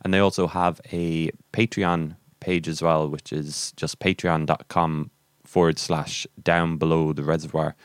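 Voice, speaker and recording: Low-voiced Irish podcast host, male. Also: flat delivery, a little slow, smooth, no room sound, clean studio sound. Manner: rambling, hesitant, self-correcting. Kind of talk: casual interview podcast chat.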